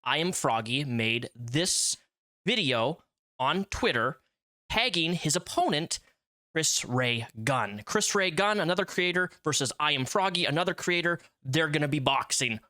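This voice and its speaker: A man narrates an online drama recap with insistent emphasis, piling up repeated words to press his point. His tone is bright and sharp, recorded in a dry, close space with no room echo. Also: a little high, expressive, unhurried. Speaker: YouTube commentator. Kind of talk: drama commentary video.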